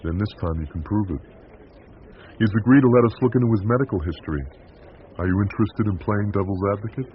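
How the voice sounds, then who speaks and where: An actor playing an earnest, forgiving priest in a radio drama, solemn and preacherly in delivery. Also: male voice, lowish voice, expressive, fast talker, lightly acted. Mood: calm, challenging. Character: earnest, forgiving, hopeful priest of steady faith. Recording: dull, faintly hissy radio recording.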